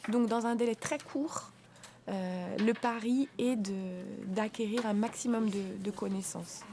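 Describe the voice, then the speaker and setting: A woman teacher explaining in an interview, pausing often with fillers and restarting phrases. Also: mid-range voice, noisy background. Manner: hesitant, frequent filler pauses and restarts.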